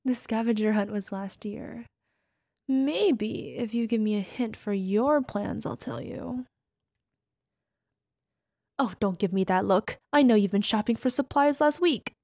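Slow, creaky-voiced teasing wife, acted by a woman. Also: a little high, no room sound, clean studio sound.

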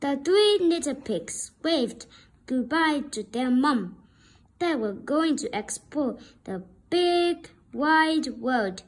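Slow, high-voiced woman reading a storybook. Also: animated. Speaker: storybook reader. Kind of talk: children's read-aloud narration.

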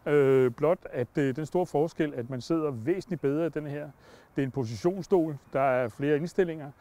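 An older man giving a plain, measured product review on a campsite lawn, speaking into a lapel mic. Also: a little high, warm tone. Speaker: product tester.

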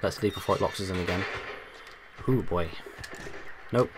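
A young man with a bassy voice, hosting a let's play with casual, joking commentary and humming. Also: moderate pace, background noise. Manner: joking, hums and sings to himself, loud bursts.

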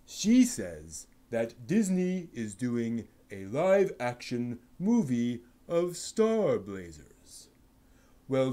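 Slow, lively man reading dramatically. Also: mid-range voice. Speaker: reader.

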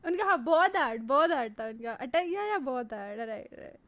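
A woman's voice: sits high, lively intonation, fairly quick, fairly bright tone. A woman in her twenties with an Indian accent reacting casually as a streamer, amused and repeating phrases back. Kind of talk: casual live reaction, mixing Hindi with some English. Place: indoor room at a streaming setup.

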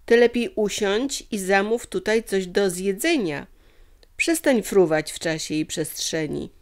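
A woman's voice: mid-range voice, animated, a little slow. A woman voicing a sensible female giraffe in a children's story, matter-of-fact and chiding.

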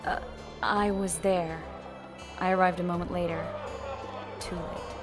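Woman playing a wry game heroine, lowish voice, dry n playful, music behind. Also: little pitch movement, a little slow, lightly acted. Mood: subdued, concerned. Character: wry, caring female companion of soldiers. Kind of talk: video game character acting.

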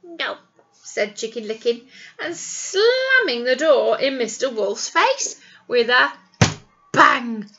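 A middle-aged woman, a primary teacher reading a picture book to young children in an animated, explanatory way with questions to them. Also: on the high side, slow, lo-fi sound. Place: small indoor classroom. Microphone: webcam or device at arm's length.

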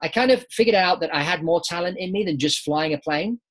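A man's voice: high voice, fairly quick. A British man in his forties, a business founder, answering interview questions over a video call from a home office. He talks with his hands and often repeats words as he restarts. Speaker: guest, aviation business founder. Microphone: laptop webcam on a video call.

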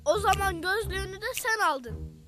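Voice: very high voice, expressive, moderate pace. A performer voicing Mert, an eager, playful young boy in a children's cartoon, sounding self-assured. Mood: eager, confident. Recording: loud music behind.

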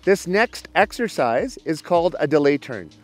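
A man's voice: a little high, very animated, moderate pace, soft background music. A man giving step-by-step ski instruction outdoors, explaining a demonstration to camera. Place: outdoors on an open snowy mountain ski slope.

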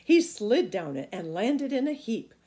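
A woman's voice: on the high side, sing-song, measured pace, mellow. A middle-aged woman reading a children's storybook aloud expressively, recorded in a room at home. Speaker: storybook reader. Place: small indoor room at home.

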